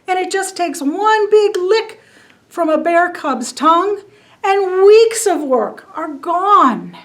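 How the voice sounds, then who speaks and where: A woman's voice: very high-pitched, a bit bright, voice pushed up, exaggerated voice. A woman voicing a tiny, chubby, fuzzy female honeybee who pleads eagerly in long breathless run-on sentences.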